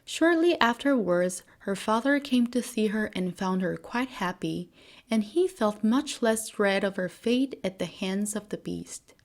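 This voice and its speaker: A woman storyteller reading a scripted bedtime fairy tale at a medium pitch. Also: animated, unhurried.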